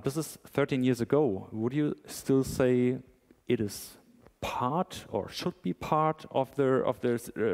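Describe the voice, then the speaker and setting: A man moderating a conference panel discussion, speaking as an in a halting way that searches for words. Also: medium pitch, a little mellow. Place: large conference hall. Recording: long hall reverb.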